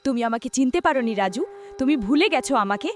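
A woman's voice: high voice, lively intonation, fast, a little hiss or hum. A woman playing the vengeful ghost of a jilted young woman in a horror cartoon, dramatic, accusing and menacing. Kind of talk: melodramatic horror cartoon dialogue.

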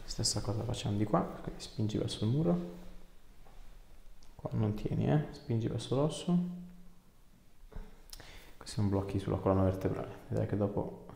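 Male chiropractor, low voice, informal and encouraging, recorded in an echoey treatment room. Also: animated, moderate pace, gravelly, pauses often, mellow. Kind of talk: casual clinical chat and instructions to a patient. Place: small indoor clinic treatment room.

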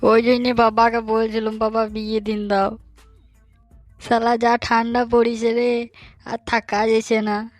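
A man playing a hapless, cheeky young bachelor in a comic cartoon, in colloquial rural western West Bengal dialect with a pitched-up voice. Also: completely flat delivery, unhurried, dry recording, soft background music. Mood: whiny complaining. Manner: colloquial, pleading, bantering.

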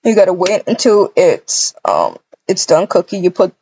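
A husky-voiced woman improvises a cozy family roleplay for a game video. Her pitch moves around a lot, and she talks in halting, repeated phrases sprinkled with endearments. The recording is dull and lo-fi. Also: wildly swooping pitch, a little mellow. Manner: halting, repetitive, cooing endearments. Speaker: roleplay video creator voicing all characters. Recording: dull, lo-fi microphone sound.

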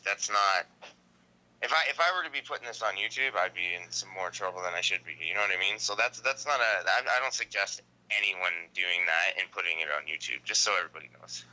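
A man gives a casual interview over the phone. His voice sits a little low but is very sharp and forceful. He talks in emphatic slang, often tagging his points with "man" or "right". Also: poor audio. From Canada. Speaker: interview guest. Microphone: phone call.

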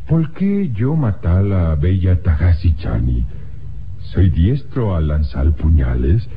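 An actor playing a sly, mocking knife-throwing villain in a classic radio drama, speaking halting, broken Spanish. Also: male voice, deep voice, full character voice. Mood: mocking innocence. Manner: halting broken Spanish, hesitant filler sounds. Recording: muffled, noisy old radio recording.

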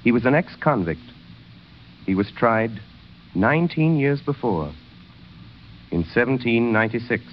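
A man narrating a 1930s radio drama as a grave storyteller, in solemn, measured, dramatic short phrases. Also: mid-range voice, lively intonation, lightly acted. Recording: dull, noisy 1930s radio recording.

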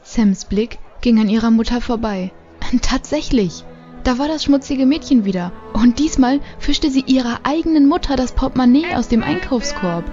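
A woman's voice: on the high side, animated, normal speed, dark tone, faint music behind, close to an everyday voice. A woman narrating a children's audio drama as a scripted storyteller. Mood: storytelling. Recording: slightly dull recording.

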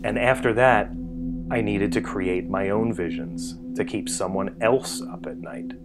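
A man's voice: fairly low pitch, a little slow, over loud music. A man in his forties reading a scripted first-person essay in a storytelling style. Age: 40s. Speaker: writer narrating a personal essay.

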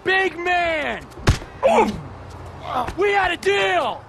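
An actor playing an outraged, pushy deal-maker in a video game street scene. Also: male voice, very high-pitched, expressive, drawn-out words, gravelly, raised voice, noisy background, exaggerated voice. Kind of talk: cinematic video game acting.

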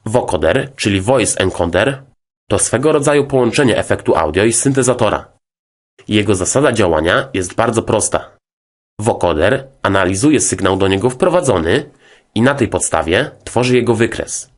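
A man narrating a scripted tutorial as a music software instructor, in a formal, methodical, explanatory way. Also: fairly low pitch, lively intonation, normal speed.

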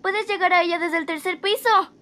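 A woman's voice: very high-pitched, a little fast, very noisy. A woman playing an emotional teenage schoolgirl in a fan-dubbed anime. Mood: alarmed. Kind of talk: anime fandub acting.

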